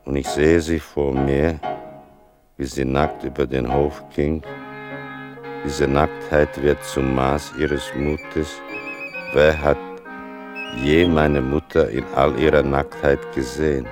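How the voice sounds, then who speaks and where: An actor playing a grave son who narrates his mother's story in a radio drama, slow and solemn with a gravelly voice. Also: flat delivery. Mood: solemn, reflective. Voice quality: deep, gravelly. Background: music.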